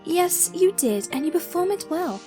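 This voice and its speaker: Woman playing a high-voiced, patient royal caretaker pony. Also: sing-song, normal speed, mellow. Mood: reassuring, careful. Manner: reassuring, nurturing. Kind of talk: animated cartoon audio drama acting. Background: music.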